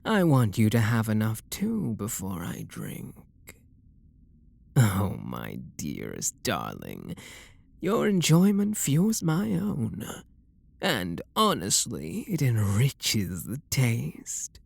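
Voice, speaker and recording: Man acting a breathy, slow British vampire lord. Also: fairly low pitch, wildly swooping pitch, no room sound, exaggerated voice. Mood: flirtatious, hungry. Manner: soft, coaxing, flirtatious, unhurried. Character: wealthy refined male vampire lord, possessive, tender, seductive. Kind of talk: intimate ASMR roleplay.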